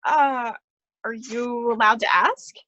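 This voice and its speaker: Actress as apologetic nervous woman, high bright voice, dry close sound, earnest hesitant phrasing, stage play. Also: extremely slow, dry recording, lo-fi sound, full character voice. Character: polite, apologetic, nervous woman accountant newcomer.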